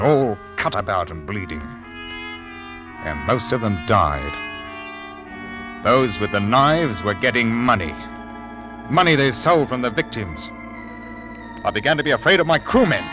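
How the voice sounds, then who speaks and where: An actor playing a stern, anxious 1700s ship's captain in old-time radio drama, telling his tale gravely and dramatically. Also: medium pitch, natural voice. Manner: dramatic, grave first-person storytelling. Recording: old radio recording with music behind.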